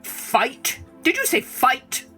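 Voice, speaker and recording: A woman's voice: high voice, very animated, takes it slowly, over music, pitched above the performer's own voice, heavily acted. A woman voicing a proud male lion, king of the forest, with scornful, haughty declarations in a storybook tale. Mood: mocking.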